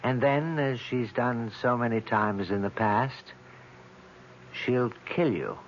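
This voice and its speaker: An actor playing an ominous messenger of a vengeful goddess in a radio horror drama, solemn and menacing. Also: male voice, medium pitch, natural voice. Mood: solemn menace. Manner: slow, solemn, menacing delivery. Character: ominous male messenger of a vengeful Venus statue. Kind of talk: 1970s radio horror acting. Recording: dull, hissy 1970s radio broadcast.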